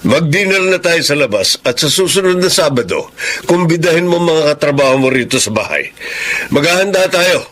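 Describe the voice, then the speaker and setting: A man's voice: a little high, expressive, normal speed, fairly bright tone. A Filipino actor playing a proud, encouraging middle-aged father with a hearty manner in a radio drama. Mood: proud, cheerful.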